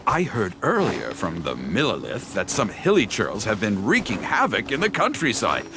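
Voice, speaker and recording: An actor playing an excitable, flamboyant storyteller in a video game, theatrical, grandiose and effusive in manner. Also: male voice, fairly low pitch, normal speed, background noise. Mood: chatty, eager. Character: excitable flamboyant male storyteller gathering material. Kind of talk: English video game voice acting.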